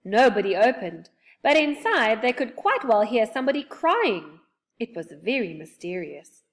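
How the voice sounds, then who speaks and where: A woman reading a children's book aloud in a gentle, expressive storytelling manner. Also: on the high side, normal speed.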